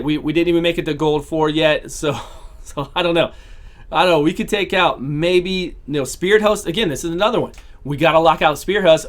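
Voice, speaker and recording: A man casually commentating his own gameplay, talking through each battle and repeating his phrases, his voice starting to go hoarse. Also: a little high. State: voice going hoarse. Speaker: gaming channel host.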